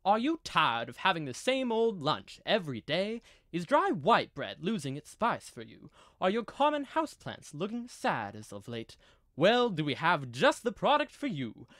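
A man's voice: high-pitched, wildly swooping pitch, moderate pace, fairly bright tone, no echo, studio quality. A young man doing theatrical, salesmanlike announcing in the style of old-time radio. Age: young adult.

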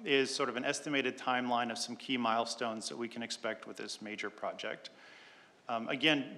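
Bright-voiced man presenting in a council chamber. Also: medium pitch. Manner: relaxed, filler-heavy explaining. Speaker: city staff presenter. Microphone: desk microphone. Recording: long reverb of a council chamber.

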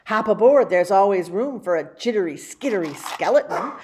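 A woman with a mid-pitched voice reads a spooky children's story like a library story-time host. Her delivery is theatrical and animated, built on exclamations and suspense. The recording has noticeable background noise. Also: moderate pace. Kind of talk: animated picture book storytelling.

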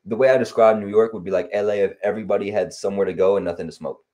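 Young man from LA, very low and mellow voice, loose rambling chat over a headset call. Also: rapid-fire, highs cut off. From Los Angeles. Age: young adult. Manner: relaxed, rambling with many fillers. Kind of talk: casual chat. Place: home room on video call. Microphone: headset on video call.

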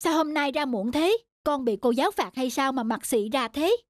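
A woman voicing a snobbish, scolding mother in a cartoon, with a sharp, nagging, indignant manner. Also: sits high, expressive, moderate pace, dry recording, clean studio sound. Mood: irritated. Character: haughty, scolding, snobbish middle-class mother. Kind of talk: cartoon dubbing.

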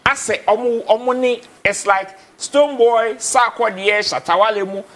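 Young Ghanaian male studio guest, high-pitched, animated, code-switching Twi and English, lapel mic. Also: a little slow. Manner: animated, gestures, mixes Twi and English, repeats words. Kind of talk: casual TV studio discussion. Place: TV studio, indoors.

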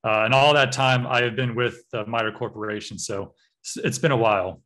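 An American man in his thirties giving an online cybersecurity workshop over slides, speaking in a relaxed way with frequent uh and um fillers. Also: mid-range voice, moderate pace. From United States. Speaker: cybersecurity trainer.

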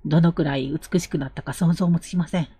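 Woman acting husky, earnest young elder brother. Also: deep voice, fairly even intonation, quick pace, warm tone, no room sound. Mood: bitter, restrained. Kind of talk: Japanese period audio drama acting.

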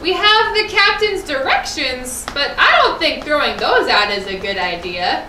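A worried young puppet sailor, played by a woman, grumbles slowly and with exaggeration. The pitch is extremely high and swings widely. It is a children's puppet show picked up in an echoey room. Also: sing-song, pushed and bright, full character voice. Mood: doubtful. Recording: roomy echo, slightly distant mic, faint noise.

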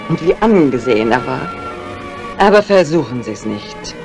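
An older woman acting a shrewd, composed crime writer in a 1950s radio mystery, speaking with deliberate, theatrical phrasing. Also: extremely low pitch, sing-song, full character voice. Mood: grave, revealing. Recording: vintage radio recording with music.

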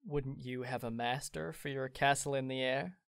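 A boyish, teasing young man, played by a woman, in a radio-style drama. The voice sits very low and sounds close and dry, without room echo. He wheedles and jokes with easy charm. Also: fairly even intonation, moderate pace. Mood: wistful. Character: cheerful teasing young man, playful neighbour boy. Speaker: voice actor in an amateur audio drama. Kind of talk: theatrical audio drama acting.

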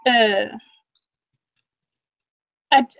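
A woman's voice: fairly high pitch, extremely slow, gravelly, a bit bright, no room sound. Young Danish woman on a dull webcam. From Denmark. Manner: rambling, frequent restarts and fillers. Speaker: interviewee, fan fiction writer. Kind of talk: casual video call interview. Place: small indoor room. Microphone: webcam mic on a video call.

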